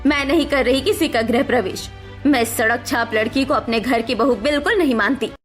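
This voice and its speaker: A woman's voice: high voice, moderate pace, soft background music, lightly acted. A woman voicing a scheming, scornful middle-aged mother-in-law in a cartoon, scolding melodramatically. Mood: outraged, scornful.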